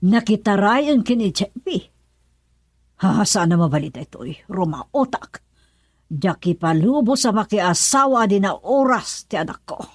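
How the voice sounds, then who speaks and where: A woman's voice: medium pitch, animated, measured pace, husky, no echo, studio quality. A woman playing an indignant, accusing mother in an Ilocano radio drama, scolding and insistent. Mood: agitated. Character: indignant, accusing mother of a runaway young daughter.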